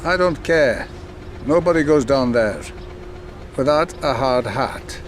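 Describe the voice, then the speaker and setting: A man playing a gruff, stubborn site guard in a game cutscene, brusque and commanding. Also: mid-range voice, lively intonation, takes it slowly, slight background noise. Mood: irritated. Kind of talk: scripted game cutscene acting.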